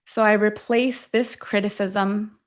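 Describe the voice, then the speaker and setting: Woman guiding affirmations in deliberate phrases. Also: mid-range voice, steady tone. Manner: deliberate, with long pauses between short phrases. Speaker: guide of a self-affirmation tapping session. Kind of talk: guided affirmation meditation.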